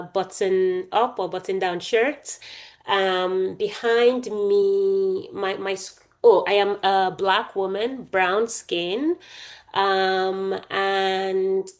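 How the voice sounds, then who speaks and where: Woman, panel host, hesitant, many fillers, video call. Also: mid-range voice. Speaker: host, executive director. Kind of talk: online panel introduction.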